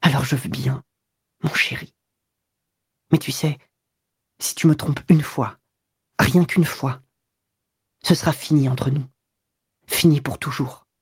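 A man's voice: mid-range voice, fairly even intonation, slow pace, long pauses, slightly rough, no room sound, clean studio sound, exaggerated voice. A man voicing a passionate, possessive young woman in an audiobook, speaking in a raised, breathless, pleading way. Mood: tender.

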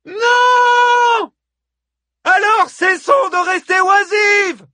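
A male narrator puts on a robust, fiery woman of ancient Troy in an epic audiobook. The voice is pushed very high and shouted, bright and forceful, with impassioned calls hurled at a crowd in a dry recording. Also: steady tone, very slow, dry recording, exaggerated voice. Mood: fierce, bellowing. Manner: impassioned, bellowing oratory with rhetorical repetition. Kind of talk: audiobook character voice, epic fiction.

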